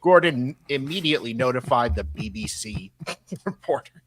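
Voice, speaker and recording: A man co-hosting a live show, reading documents aloud in a halting way with casual, joking asides. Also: mid-range voice, expressive, poor audio. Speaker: co-host.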